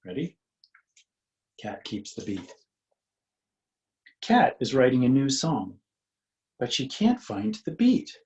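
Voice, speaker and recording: A man reads a picture book aloud for small children at a slow pace. He is the author, and his delivery is singsong and invites children to join in. The recording is a little dull. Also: mid-range voice, huge pitch swings, vocal fry, darkish tone, echoey room. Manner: singsong, questioning, inviting participation.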